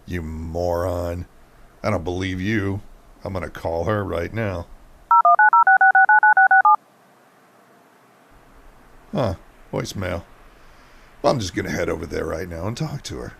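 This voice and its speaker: Gravelly, blustering small-town businessman played by a man. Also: low-pitched, normal speed, very noisy. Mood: indignant. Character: boastful, gruff small-town businessman and rival, Henry. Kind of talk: amateur radio mystery acting.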